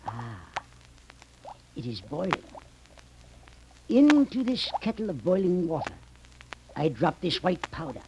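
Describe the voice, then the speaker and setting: An actor playing a sinister, pompous occult professor in deliberate, portentous 1930s radio horror style. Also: male voice, fairly high pitch, big pitch swings, full character voice. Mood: ominous, portentous. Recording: noisy old 1930s radio recording.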